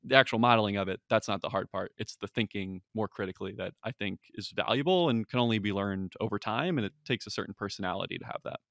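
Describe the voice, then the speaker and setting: A young man working as an analyst, answering interview questions with steady, earnest explanations. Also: lowish voice, quick pace, gravelly, few pauses, no echo, studio quality.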